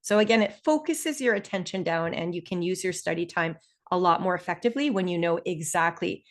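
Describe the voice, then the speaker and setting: A woman with a Canadian accent explains things clearly and deliberately as a webinar presenter, stressing key terms. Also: on the low side, animated. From Ontario, Canada.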